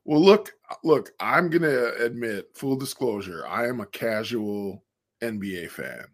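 A man with a deep voice talks sports at an easy, unhurried pace. He comes across as laid-back, dropping "man" and "dude" as he chats over a video call. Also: lively intonation. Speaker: guest co-host. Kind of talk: casual sports chat.

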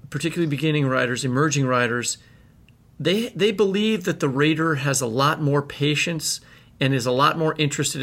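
A man co-hosting a literary podcast and talking with a guest in an animated, emphatic way. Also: medium pitch. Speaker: podcast co-host. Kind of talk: interview conversation.

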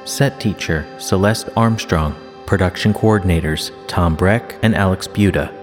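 A man's voice: deep voice, a little slow. A man reading scripted credits as the announcer of an audio production. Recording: long reverb, music under the voice.

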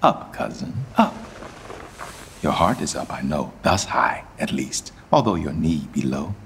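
A man's voice: a bass voice, big pitch swings, measured pace, slight background noise, full character voice. A bitter, doomed king. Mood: bitter, ironic. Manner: mocking, wry, swings between whispers and outbursts. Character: doomed proud male king, bitter and theatrical. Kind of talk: Shakespeare radio play acting.

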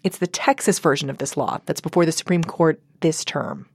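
A woman's voice: deep voice, fairly quick, dry recording. An American woman reading scripted radio documentary narration on a close studio microphone.

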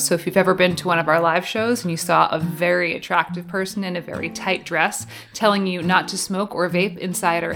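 A woman's voice: fairly low pitch, a bit bright. A woman chatting casually and promotionally on a podcast, with a playful, wry manner and guitar music behind her. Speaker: podcast co-presenter, live show MC and author. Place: home basement.

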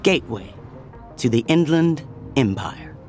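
Male narrator for fiction podcast. Medium pitch, measured deliberate phrasing, music bed underneath. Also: lively intonation, darkish tone. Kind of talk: scripted audio drama narration.

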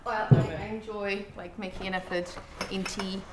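A woman's voice: mid-range voice, level tone, moderate pace, loud music behind the voice. A woman from Flanders with a Flemish accent, a native Dutch speaker, speaking as a food historian and author in an interview and explaining by listing examples. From Flanders, Belgium. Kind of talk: interview answers.

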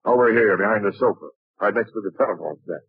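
Casting: irritable, sarcastic police captain, rough-edged voice, 1940s-style radio detective show, lo-fi sound. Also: male voice, on the low side, quick pace, no room sound. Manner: sarcastic, blustering. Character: gruff, sarcastic, short-tempered police captain. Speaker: actor in a radio crime drama. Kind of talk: old-time radio detective drama acting. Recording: muffled lo-fi vintage radio broadcast.

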